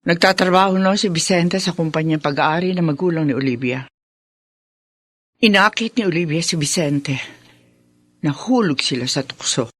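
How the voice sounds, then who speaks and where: A woman's voice: on the low side, moderate pace, pauses often, no room sound, clean studio sound, natural voice. A woman playing an anxious, resigned middle-aged mother who is confessing in a radio drama. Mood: confessional. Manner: confessional, explanatory.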